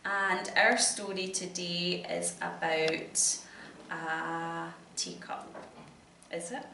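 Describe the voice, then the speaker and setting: A Scottish woman with a fairly low voice reads a children's story aloud, asking questions and giving encouragement. The recording is roomy, a little distant, with some background noise. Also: steady tone, drawn-out words, creaky, bright, forceful tone. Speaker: teacher reading a story to a child. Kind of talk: interactive storybook reading, child-directed. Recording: echoey room, distant mic, noisy background.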